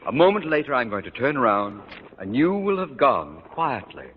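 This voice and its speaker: An actor playing a pompous, irascible middle-aged school principal who scolds in formal, blustering speeches in a vintage radio sitcom. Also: male voice, big pitch swings, normal speed, natural voice. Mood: strained restraint. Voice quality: blustering, booming delivery. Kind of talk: 1950s radio sitcom acting. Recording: muffled old radio recording, noisy, reverberant.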